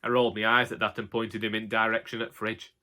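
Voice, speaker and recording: A man's voice: fairly low pitch, fast talker. A man voicing a wry, long-suffering elderly husband with a Northern English accent in a colloquial comic audiobook monologue. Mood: wry, droll. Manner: colloquial, wry, deadpan grumbling. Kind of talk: first-person comic monologue, audiobook narration.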